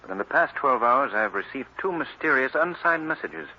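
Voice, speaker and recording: Man acting determined gentleman sleuth, very lively intonation, mid-range pitch, dramatic emphasis, noisy old radio serial. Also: moderate pace, muffled recording, close to an everyday voice. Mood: serious, thoughtful. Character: earnest, resolute male amateur sleuth and crime fighter.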